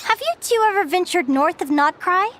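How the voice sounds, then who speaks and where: A woman playing an eager, cheerful young guild advisor in an anime-style video game, with an enthusiastic, expressive delivery. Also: very high voice, moderate pace, very noisy. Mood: chatty.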